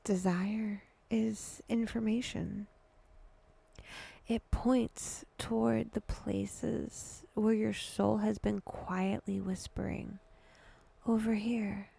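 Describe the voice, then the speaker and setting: A woman guiding a meditation in a hushed voice that drifts toward a whisper, speaking deliberately in short phrases with long pauses. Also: medium pitch, level tone, gravelly, breathy edge. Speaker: guided meditation host. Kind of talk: slow, breathy guided meditation narration.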